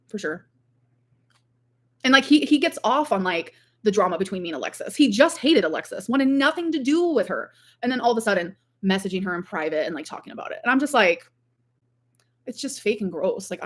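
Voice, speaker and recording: Female livestreamer, mid-range voice, informal rambling delivery with frequent filler "like". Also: mid-range voice. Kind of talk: casual livestream chat, reading out viewer comments.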